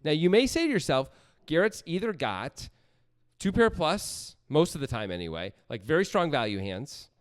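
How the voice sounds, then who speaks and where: A man's voice: on the high side, very animated, normal speed. A man co-hosting a poker show, talking through analysis conversationally as he thinks aloud. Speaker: podcast co-host, poker analyst.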